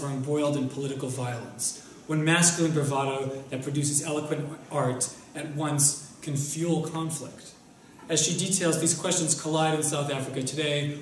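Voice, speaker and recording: A man's voice: fairly high pitch, recorded from a distance, a little hiss or hum. Young man introducing a speaker in an echoey room. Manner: measured, reads from notes at a lectern. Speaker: event host introducing a speaker. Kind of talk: formal read introduction. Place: lecture room. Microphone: lectern mic, filmed by far camera.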